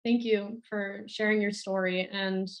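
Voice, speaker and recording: A young adult woman moderating, introducing the guest politely and warmly thanking her. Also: mid-range voice, fairly even intonation, unhurried. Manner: polite, welcoming.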